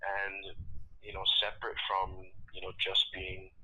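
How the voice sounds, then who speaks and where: A forty-year-old man speaks haltingly in a personal phone interview, often repeating phrases. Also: low voice, completely flat delivery, bright, forceful tone, husky. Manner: halting, repeats phrases, often adds "you know" and "right?". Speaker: interview guest, incarcerated man. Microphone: telephone. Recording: noisy telephone line with clicks.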